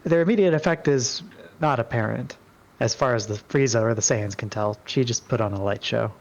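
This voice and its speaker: A man's voice: medium pitch, brisk. Man narrating fantasy through a dull mic. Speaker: player narrating in a dramatic roleplay reading. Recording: dull, lo-fi microphone sound.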